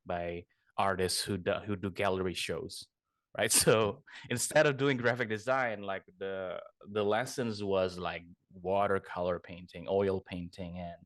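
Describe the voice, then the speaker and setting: A man's voice: low voice, no echo, studio quality. A young man from Indonesia, a guest artist chatting casually in an interview, rambling and correcting himself as he goes. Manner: rambling, self-correcting, laughs often.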